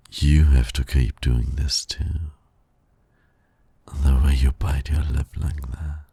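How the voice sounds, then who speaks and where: Casting: male actor for a suave villain lover in ASMR roleplay, extremely low, breathy voice, flirtatious and teasing. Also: mellow, no echo, heavily acted. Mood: flirtatious, pleased. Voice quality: gravelly, breathy. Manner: flirtatious, slow, purring, often dropping to a whisper. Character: suave, teasing male supervillain courting his hero nemesis.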